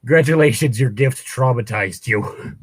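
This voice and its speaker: A man's voice: medium pitch, moderate pace, breathy edge, no echo, poor audio. A man reading a story aloud as a co-host, slipping into casual, sarcastic, mock-exasperated asides. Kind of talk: read-aloud narration with casual joking commentary.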